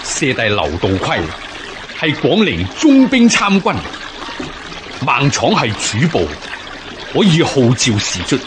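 An actor with a Hong Kong Cantonese accent playing a resolute, formal rebel general of ancient China in a radio drama. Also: male voice, on the high side, big pitch swings, slow, pauses often, noisy background. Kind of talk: historical radio drama acting.

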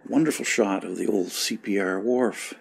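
Older man with a low voice. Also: normal speed, gravelly, husky, poor audio. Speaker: local resident recalling the past. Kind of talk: informal reminiscing over old home movies.

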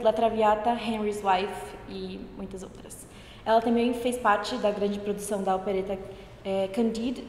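A woman's voice: fairly high pitch, a little mellow. Young woman interviewer, echoey room. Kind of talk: presenting and interviewing. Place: room with high windows, indoors. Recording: echoey room, reverb, faint noise.

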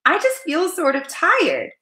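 A woman's voice: extremely high pitch, huge pitch swings, unhurried, sharp tone, echoey room, pitched above the performer's own voice, full character voice. A woman voicing a young boy from a children's storybook, polite and curious. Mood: tired.

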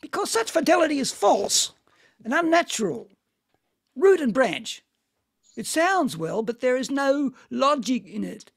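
A brooding, embittered middle-aged man from a stage drama, read by a man. The voice is rough and creaky, and it rises and falls dramatically with scorn and envy. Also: very high-pitched, big pitch swings, a little slow, full character voice. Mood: resentful. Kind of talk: amateur play reading. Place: at home on a video call. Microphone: video call microphone.

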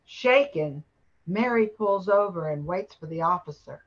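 A woman's voice: fairly low pitch, expressive, a little slow, highs cut off, slight background noise. An older woman narrating a scripted radio play.

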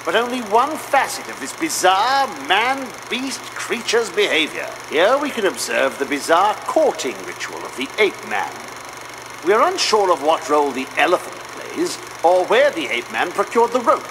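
An actor playing a pompous British gentleman explorer, theatrically narrating his jungle film like an old-fashioned documentary. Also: high-pitched, very animated, moderate pace. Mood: pompous, self-important. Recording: noisy old film reel with projector hum.

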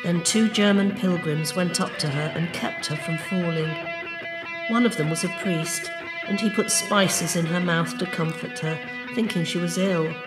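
A narrator giving a measured, solemn dramatic reading of an old religious tale. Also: mid-range voice, low-quality recording. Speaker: storyteller, narrator. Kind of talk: dramatic reading of a medieval book, storytelling. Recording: music behind the voice. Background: plucked guitar music.

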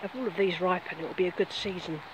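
A woman's voice: lowish voice, fairly even intonation, quick pace, muffled recording, noisy background. A middle-aged woman chatting casually as she walks outdoors on a grassy field, pointing things out, recorded on a phone held close. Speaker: vlogger. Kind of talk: casual walk-and-talk vlog. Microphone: phone camera held close.

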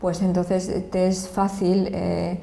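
Older woman, Madrid accent, earnest and measured; dark, gravelly voice in a roomy space. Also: lowish voice, little pitch movement. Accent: Spanish from Madrid. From Madrid, Spain. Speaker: association president. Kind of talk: sit-down interview. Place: indoor office room. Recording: echoey room.

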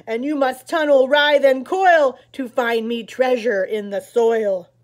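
A woman's voice: high-pitched, steady tone, measured pace. A woman voicing a bossy, menacing male lizard wizard in a storybook, commanding and threatening.

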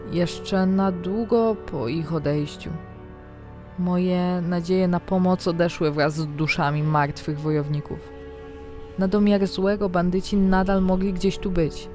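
Dramatic female fantasy narrator. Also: lowish voice. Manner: dramatic, expressive storytelling. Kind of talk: scripted first-person fantasy diary narration. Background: background music.

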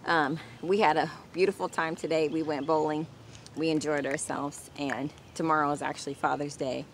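A middle-aged woman with a fairly low voice tells a story in a casual, chatty way. She is recorded outdoors in a garden, with some ambient noise behind her. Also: fairly even intonation, moderate pace. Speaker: vlogger. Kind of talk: casual personal storytelling to camera.

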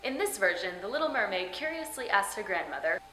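A woman's voice: mid-range voice, big pitch swings, a little fast, pushed and bright, a little hiss or hum. A young woman hosting with scripted, theatrical comedic storytelling narration. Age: young adult. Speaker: host. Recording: roomy sound with background music. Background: music.

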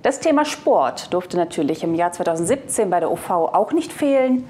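A woman's voice: lowish voice, lively intonation, normal speed, background noise. A woman in her thirties presenting a scripted video review, addressing viewers casually into a lapel mic.

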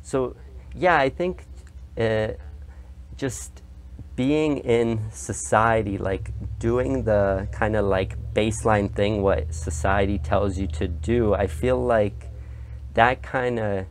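A man's voice: fairly low pitch, takes it slowly. A young man who grew up partly in Poland, talking as a podcast guest in a hesitant, rambling way with many fillers. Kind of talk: casual interview talk.

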